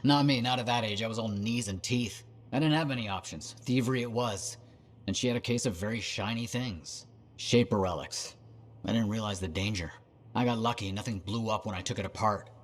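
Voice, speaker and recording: A man playing an eager, bookish inventor in a video game, with an expressive and enthusiastic delivery. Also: on the low side, brisk, natural voice. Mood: wry, nostalgic. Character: eager scholarly male inventor, former street-kid prodigy. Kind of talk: video game dialogue acting.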